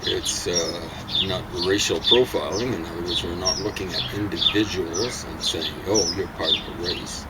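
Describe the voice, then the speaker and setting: A man from Africa talking casually and insistently, rambling with many pauses and fillers. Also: sits low, very noisy. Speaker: partner in a home vlog conversation. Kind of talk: casual opinionated chat.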